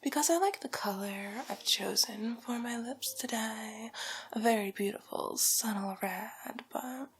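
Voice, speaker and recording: A woman playing a haughty, condescending, luxury-obsessed villainess in an ASMR roleplay, with a drawling, theatrical delivery that shifts between breathy whisper and soft creaky speech. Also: a little high, measured pace. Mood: vain, dramatic. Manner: drawling, theatrical, condescending, calls listener darling.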